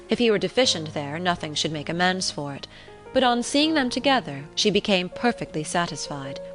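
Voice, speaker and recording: A woman reading a classic novel as an audiobook narrator, in a measured, formal manner. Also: fairly low pitch. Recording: relaxing music behind the voice.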